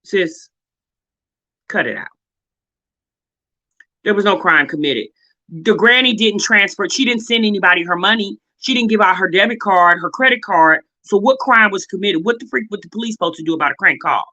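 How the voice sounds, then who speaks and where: A woman's voice: mid-range voice, animated, normal speed, sharp tone, low-quality recording. An American woman giving casual, opinionated commentary as a reaction video host, blunt and sassy, often exasperated and firing off rhetorical questions.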